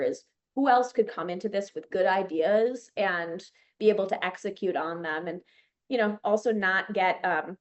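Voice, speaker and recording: A woman's voice: medium pitch, muffled recording. A woman in her thirties with a Chicago accent, a company founder talking as a guest in an interview, chatty and enthusiastic with many fillers and restarts. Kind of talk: casual interview chat.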